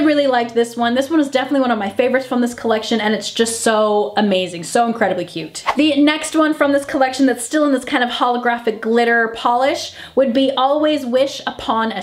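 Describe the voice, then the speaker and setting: A woman vlogger narrates a casual hands-on review. She is chatty and effusive, often doubling up words to stress her point, and talks in an informal, conversational way. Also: fairly high pitch. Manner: gushing, emphatic, repeats words for emphasis. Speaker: nail polish reviewer and vlogger.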